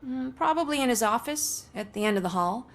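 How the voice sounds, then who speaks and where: A woman's voice: a little high, expressive, brisk. A woman playing a breezy, cynical commercial actress in a video game, talking in a wry conversational way. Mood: wry, casual. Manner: wry, casual.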